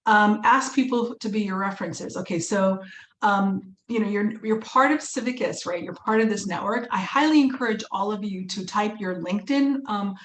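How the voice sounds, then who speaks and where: An upbeat, encouraging woman originally from Uganda teaches a webinar over a video call. Her voice has a mid-range pitch, and she fills pauses with "um" and restarts phrases often. The sound is echoey, with faint room noise behind her. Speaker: workshop presenter and fundraising instructor.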